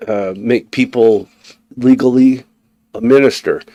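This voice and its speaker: An easygoing man host who talks at a middle pitch, pausing on ums and starting sentences over. He chats loosely on a live-stream studio mic. The recording is dry and close, with no room echo. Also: fairly even intonation, dark tone, lo-fi sound. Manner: easygoing, hesitant, many ums and restarts. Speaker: host of a psychic call-in radio show. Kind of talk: casual chat.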